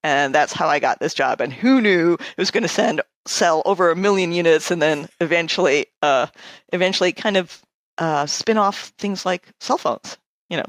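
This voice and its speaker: A woman's voice: fairly low pitch, expressive, normal speed, no echo. A woman in her fifties from Canada, a guest architect who tells stories in a chatty, self-deprecating way. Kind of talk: casual interview chat.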